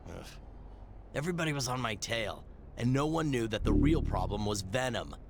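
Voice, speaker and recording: An actor playing a young, wisecracking but weary superhero in a video game cutscene. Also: male voice, medium pitch, expressive, normal speed, gravelly, noisy background. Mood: grim narration.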